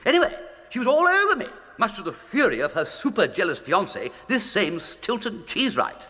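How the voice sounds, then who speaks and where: An actor playing a flippant, idle young English gentleman with a breezy, plummy, upper-class accent and exclamatory period slang, in a British radio comedy. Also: high-pitched, wildly swooping pitch, fairly quick, exaggerated voice. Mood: exasperated. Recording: long echoing room reverb.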